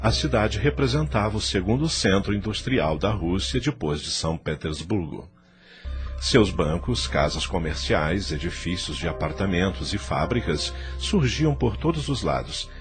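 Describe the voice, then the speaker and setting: A man's voice: low-pitched, lively intonation, normal speed, soft background music. A man with a Brazilian accent narrating a scripted audio novel.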